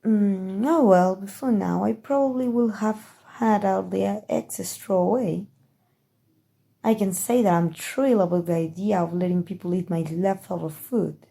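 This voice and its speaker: A woman's voice: mid-range voice, normal speed, vocal fry, dark tone, natural voice. A woman playing a haughty, scheming evil queen in an ASMR roleplay, with a regal, theatrical, condescending manner. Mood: indulgent, haughty. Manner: regal, theatrical, condescending, drawling with amused disdain. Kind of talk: ASMR roleplay audio drama.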